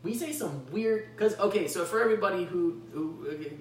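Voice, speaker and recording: A man's voice: high voice, brisk. American guy podcast cohost, rambly jokey chat, swears a bit, roomy echo, kinda far from the mic. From Chicago suburbs, United States. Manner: animated, rambling, swears freely, acts out quoted exchanges. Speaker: podcast co-host. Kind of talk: casual joking chat. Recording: roomy echo, distant mic, faint hum.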